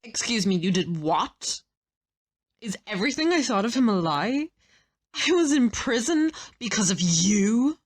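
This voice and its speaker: A woman's voice: a little high, big pitch swings, a little slow. A woman playing a fierce, vengeful young fighter in an audio drama, speaking in a hostile, cutting, threatening way. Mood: shocked anger. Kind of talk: audio roleplay drama acting.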